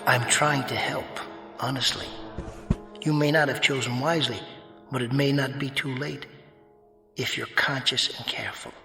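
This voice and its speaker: Casting: mocking unearthly conscience, bright-edged mid-range voice, formal and measured, drenched in reverb, radio drama. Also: male voice, medium pitch, fairly even intonation, creaky. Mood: earnest. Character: calm, sardonic, otherworldly voice of judgement. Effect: heavy reverb. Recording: long echoing reverb, music behind.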